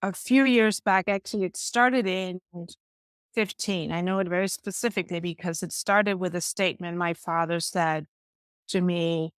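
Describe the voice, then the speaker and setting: A woman's voice: lowish voice, smooth, dry recording, clean studio sound. A middle-aged woman from Denmark, explaining her coaching work earnestly and emphatically as an interview guest. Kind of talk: conversational interview answers.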